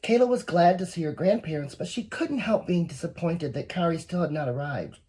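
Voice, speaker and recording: A woman with a fairly low, husky voice reads a children's story aloud. Her pitch moves around a lot as she encourages young listeners and adds little asides. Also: lively intonation, fairly quick, warm tone. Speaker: volunteer story reader. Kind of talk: read-aloud picture book for children, with asides.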